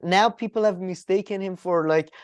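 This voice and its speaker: A woman co-hosting a comedy podcast, whose first language is Persian, talking in a casual, animated way, swinging between loud and soft and often restarting her sentences. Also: lowish voice, normal speed, clear, resonant tone, dry recording. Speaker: podcast co-host.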